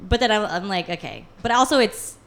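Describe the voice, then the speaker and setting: A woman's voice: mid-range voice, animated, brisk, fairly bright tone, a little hiss or hum. A woman co-hosting a podcast, chatting casually and reacting expressively. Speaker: podcast co-host. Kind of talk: casual chat.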